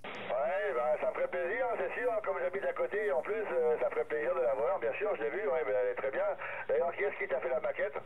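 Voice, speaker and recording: A man's voice: high voice, fast talker, phone-quality sound. A middle-aged man chatting casually and hesitantly as a radio hobbyist, heard through a two-way radio transmission. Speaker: amateur radio operator.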